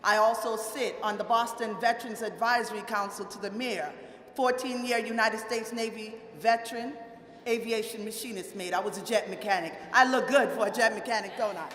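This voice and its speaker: Bright, forceful woman speaking in an echoing hall. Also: fairly high pitch, steady tone, a little fast. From Montserrat. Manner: playful, rhetorical questions to the audience. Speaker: guest speaker, political chief of staff. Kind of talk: informal motivational public speech. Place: large hall at a live event. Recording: echoey hall with faint crowd.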